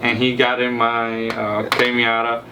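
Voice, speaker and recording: A man's voice: medium pitch, completely flat delivery, a little slow. A young man from Cuba casually telling a road-trip story, rambling and repeating words as he acts out what people said. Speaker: car vlog host. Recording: distant mic with faint noise.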